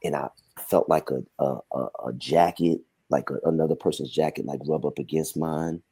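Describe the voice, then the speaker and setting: A man who directs films, talking in a relaxed, reflective way on a call from his mother's house. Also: rumbling low, moderate pace, slightly rough. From Brooklyn, New York. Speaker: film director guest. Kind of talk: casual interview answers.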